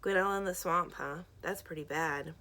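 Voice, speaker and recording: A blunt, exasperated teenage boy in a story, acted out by a woman narrator. He is prickly and sarcastic, pushing his words out forcefully with a creaky edge. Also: fairly low pitch, moderate pace. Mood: guarded, blunt.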